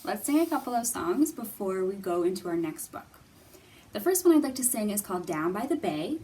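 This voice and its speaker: A woman's voice: medium pitch, sing-song, a little fast, dark tone. A woman presenting a children's storytime with singalong songs, encouraging and playful, explaining things simply for young children. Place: room in a public library. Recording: distant mic, background room noise.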